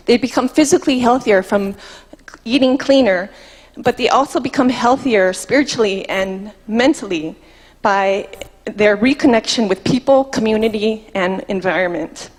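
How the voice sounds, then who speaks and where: Woman from Hawaii, mid pitch, measured reading of prepared notes, hall echo. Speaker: student presenter. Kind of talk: read-out research pitch. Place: large room indoors. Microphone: handheld mic. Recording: long hall reverb.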